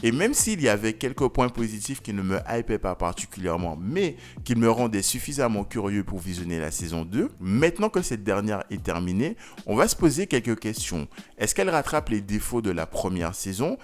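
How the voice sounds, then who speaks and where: A man's voice: lowish voice, sing-song, fairly quick. A man hosting a review video, speaking in scripted commentary with conversational asides and theatrical, emphatic phrasing. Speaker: video reviewer and channel host.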